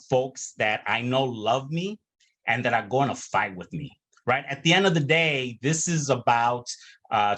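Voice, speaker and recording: A middle-aged man from Chicago, speaking earnestly on a panel over a video call and pausing often with filler sounds. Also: mid-range voice, fairly bright tone. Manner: earnest, many hesitations and restarts.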